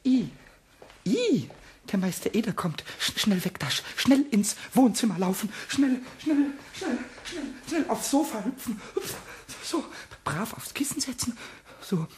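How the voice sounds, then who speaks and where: A man voicing a small, cheeky, childlike kobold in a children's radio play, squeaky and excitable, muttering to himself in rhymes. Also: unhurried, noisy background. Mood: frantic.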